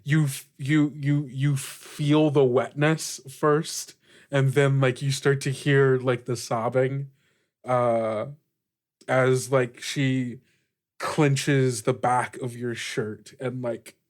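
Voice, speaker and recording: Slow, halting man running a game. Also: mid-range voice. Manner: halting, searching, informal. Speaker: game master. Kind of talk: improvised tabletop game narration.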